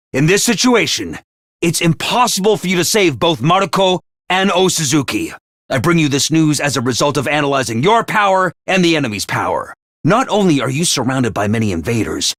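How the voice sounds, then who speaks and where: A man voicing a brisk, ruthless academy commander in a video game, barking orders and announcements. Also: a little high, very animated, a bit bright, dry recording, clean studio sound. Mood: analytical. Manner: clipped orders and announcements, drill-sergeant bark. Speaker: voice actor in a game.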